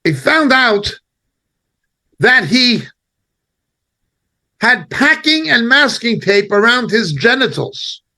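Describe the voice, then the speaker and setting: A man giving impassioned spoken commentary as a preacher, indignant and emphatic, speaking in short halting phrases. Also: very high-pitched, sing-song, bright, forceful tone.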